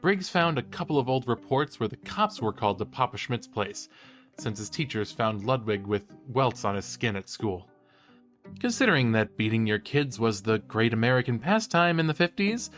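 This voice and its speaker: Animated young college guy podcasting played by a man. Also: medium pitch, moderate pace, soft background music, natural voice. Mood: eager, explanatory. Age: young adult. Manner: playful, casual, animated storytelling. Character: cheeky, enthusiastic male college student podcaster. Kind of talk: bantering, conversational podcast-style audio drama.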